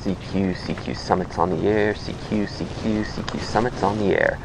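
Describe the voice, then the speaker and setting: A man's voice: lowish voice, fairly even intonation, normal speed, vocal fry, noisy background. An American man working as an amateur radio operator, making repetitive, procedural calls with spelled-out call signs. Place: outdoors on a hilltop.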